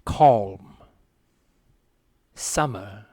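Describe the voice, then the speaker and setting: A man playing a solemn hypnotist in an improvised comedy podcast, guiding a trance with hushed, portentous delivery and long dramatic pauses. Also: lowish voice, no room sound, clean studio sound. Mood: solemn, dreamy. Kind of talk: slow guided meditation, improvised comedy.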